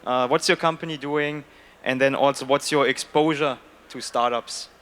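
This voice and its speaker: A man's voice: mid-range voice, fairly even intonation, normal speed, fairly bright tone. A man with a German accent moderating a conference panel, upbeat and chatty with many fillers. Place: conference stage.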